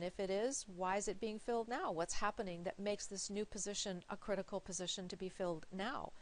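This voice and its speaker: A woman coaching viewers with practical advice in an emphatic, encouraging, conversational way. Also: medium pitch. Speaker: recruiter giving interview coaching.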